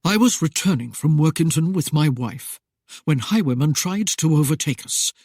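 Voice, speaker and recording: A man voicing a cold, aloof nobleman who speaks in a curt, measured way. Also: a little high, animated, a little mellow, no room sound, studio quality. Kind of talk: audiobook character voice.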